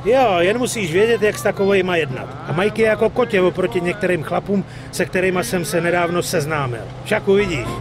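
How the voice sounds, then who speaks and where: A brash young mobster, acted by a man, talks in a high voice full of street slang and swagger. He is cocky and streetwise, straight out of a crime video game. Music plays clearly behind him. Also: hardly any pauses, lightly acted. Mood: breezy, streetwise. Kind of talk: dubbed crime game dialogue.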